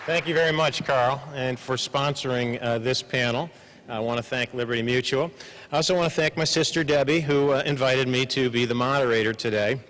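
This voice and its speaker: A man's voice: medium pitch, a bit bright. Man moderating in a reverberant hall. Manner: measured, slows for emphasis, frequent uh fillers. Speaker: panel moderator. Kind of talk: formal introduction with light humor.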